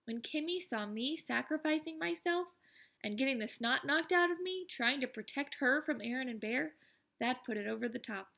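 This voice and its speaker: A woman reading aloud as an excitable, boastful, self-deprecating teenage schoolboy who tells his story in an animated, wry, conversational way. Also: high voice, normal speed, creaky, full character voice. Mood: proud, chatty. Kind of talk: first-person audiobook narration.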